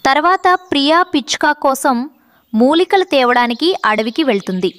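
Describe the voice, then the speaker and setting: A woman's voice: high-pitched, animated, moderate pace, a bit bright. A woman narrator reading a scripted moral story for children.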